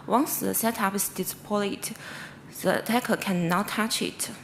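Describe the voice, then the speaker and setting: A woman researcher with a Chinese accent gives an academic conference presentation in halting English, often stumbling and correcting herself. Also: medium pitch, a bit bright. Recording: clearly noisy presentation recording.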